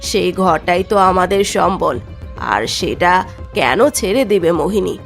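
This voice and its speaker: A woman's voice: on the low side, animated, moderate pace, gravelly, faint music behind. A woman voicing a caring, worried elder sister in a village fairy-tale cartoon, earnest and questioning.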